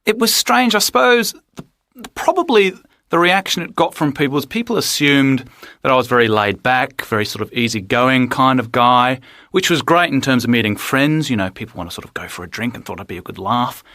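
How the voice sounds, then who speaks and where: Aussie bloke, bright sharp voice, chill and self-deprecating, dry close sound. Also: male voice, mid-range voice, fairly quick, dry recording. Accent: Australian. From Australia. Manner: relaxed, easygoing, self-deprecating. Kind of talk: unscripted personal account.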